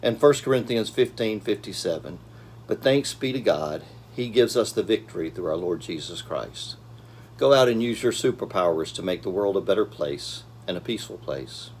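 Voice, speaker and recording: A man in his fifties giving a casual daily devotional talk from a small office, with gentle pacing and frequent ums and uhs, recorded by a camera at desk distance. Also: on the low side, lively intonation, normal speed, slight background noise. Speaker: church devotional speaker.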